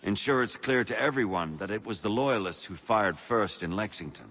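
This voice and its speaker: An actor playing a shrewd, persuasive colonial revolutionary leader in a video game, speaking formally and rhetorically with assurance. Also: male voice, lowish voice, moderate pace, fairly bright tone. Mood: assured, pragmatic. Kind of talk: dramatic game dialogue. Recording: long reverberant hall echo.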